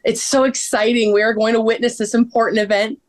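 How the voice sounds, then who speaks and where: A woman acting a bubbly, excitable young wife in a scripted table read. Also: on the high side, fairly quick, natural voice. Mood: bubbly excitement.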